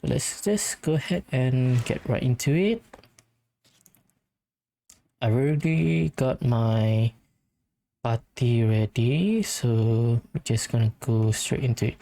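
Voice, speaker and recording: A woman's voice: extremely low pitch, mellow. A woman giving casual gameplay commentary as the host of a gaming video. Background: faint game music.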